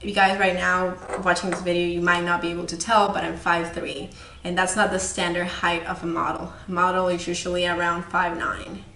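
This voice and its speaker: A woman's voice: on the low side, flat delivery, brisk, fairly bright tone, echoey room, low-quality recording. A woman in her twenties from El Salvador, speaking candidly and earnestly to the audience about her own experiences, with many fillers. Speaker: model sharing her story. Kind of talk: personal talk to camera.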